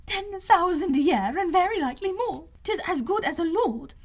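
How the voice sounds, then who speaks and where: A woman voicing a gushing, excitable middle-aged mother in an audiobook, with effusive, breathless exclamations. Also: very high-pitched, normal speed, pitched above the performer's own voice. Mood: giddy, eager. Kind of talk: audiobook character voice.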